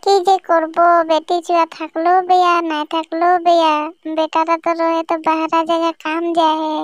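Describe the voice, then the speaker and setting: Lady playing a nagging cartoon mom, squeaky high, grumbling, dry close mic. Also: monotone, normal speed, no echo. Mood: worried grumbling. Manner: scolding, grumbling. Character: nagging village mother, scolding and worried.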